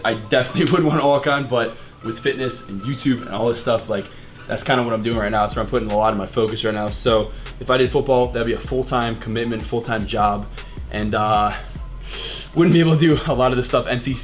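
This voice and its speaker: Fast-talking young American man, casual vlogger. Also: fairly low pitch. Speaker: fitness vlogger answering viewer questions. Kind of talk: casual chat, Q&A vlog.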